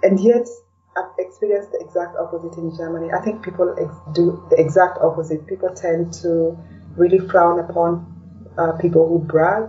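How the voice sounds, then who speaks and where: A woman's voice: on the low side, fairly even intonation, normal speed, far from the mic, dull audio. A woman from Kenya talking reflectively as an interview guest, in casual conversation, often tagging her sentences with "you know".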